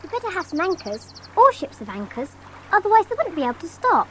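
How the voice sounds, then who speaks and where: Very high-pitched, sensible child. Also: female voice, big pitch swings, fast, a little hiss or hum. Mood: breezy. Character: chirpy, sensible, sceptical child. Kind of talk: dramatised audiobook acting.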